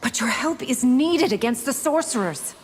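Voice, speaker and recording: An actress playing a determined, distrustful young warrior daughter in a fighting game, earnest and insistent. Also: female voice, high voice, lively intonation, normal speed, big-room sound, background noise. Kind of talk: video game fighter dialogue.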